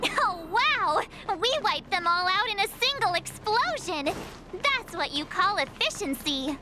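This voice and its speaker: An actress voicing a plucky, excitable little girl adventurer in a video game, full of exclamations. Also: very high voice, a little slow, noisy background, exaggerated voice. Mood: gleeful, excited. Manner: exclamatory, gleeful. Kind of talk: scripted video game dialogue.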